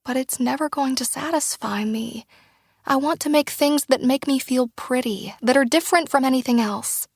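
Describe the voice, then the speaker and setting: A woman's voice: high-pitched, normal speed, husky, no echo, pitched above the performer's own voice. Anxious grown daughter, voiced by a woman, earnest and apologetic, dry booth. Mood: pleading. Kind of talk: audiobook character dialogue.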